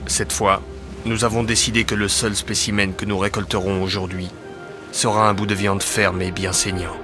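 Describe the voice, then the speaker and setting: Actor as a low-voiced disgruntled sailor. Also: male voice, flat delivery, normal speed, music in the background, close to an everyday voice. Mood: grim relish. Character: disgruntled, bored male 19th-century sailor writing his journal. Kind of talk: dramatic journal voice-over.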